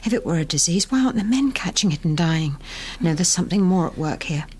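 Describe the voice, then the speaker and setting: An actress playing a stern, superstitious governess in a gothic audio drama, grave and disapproving. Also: female voice, on the low side, animated, fast talker, a little mellow, slightly rough. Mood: foreboding.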